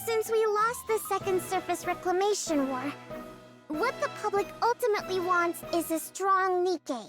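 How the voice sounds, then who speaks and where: Very high-pitched, excitable little girl genius. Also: normal speed, over music. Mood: earnest. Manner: childlike, earnest, expressive. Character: brainy, proud, excitable little girl inventor. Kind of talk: voiced game event dialogue.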